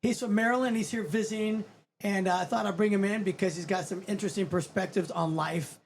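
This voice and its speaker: A man's voice: sits high, steady tone, a little fast, vocal fry. A man hosting a casual interview podcast, speaking in a blunt, slangy, informal way. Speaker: podcast host. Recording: noticeable background noise.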